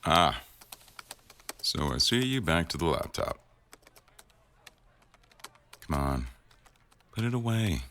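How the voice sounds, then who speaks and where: Very deep, gravelly boss played by a man. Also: big pitch swings, slight background noise, heavily acted. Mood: attentive, wry. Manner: measured, dry, gently teasing. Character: attentive male boss, dry-witted news executive producer. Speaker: audio roleplay performer. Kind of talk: intimate boyfriend ASMR roleplay.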